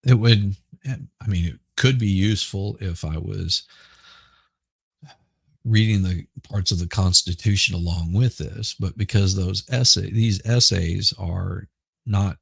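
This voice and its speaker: A hoarse middle-aged man rambles through casual chat as a podcast host, his deep voice gravelly and husky. He talks slowly, restarting and repeating words as he goes. The sound is dry, with no room around it. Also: no room sound. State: hoarse from smoke.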